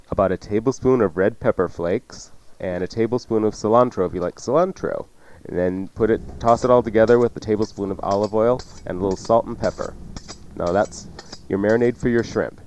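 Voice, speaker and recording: A man's voice: on the low side, darkish tone, slight background noise. A man hosting a cooking show, chatting through recipe instructions off the cuff with joking asides. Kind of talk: casual unscripted radio cooking instruction.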